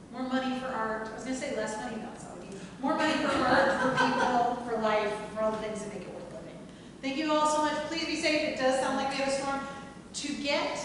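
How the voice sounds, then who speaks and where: A woman moderator making informal closing remarks at a theatre talkback. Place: large echoey hall at an arts centre. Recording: very echoey hall, distant mic, loud rumbling noise.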